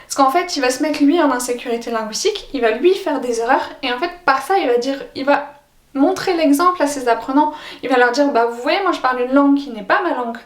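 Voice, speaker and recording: A young woman language teacher explaining her views to camera, thoughtful, pausing often on fillers. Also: sits high, echoey room. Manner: thoughtful, hesitant with frequent fillers. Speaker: French as a foreign language teacher.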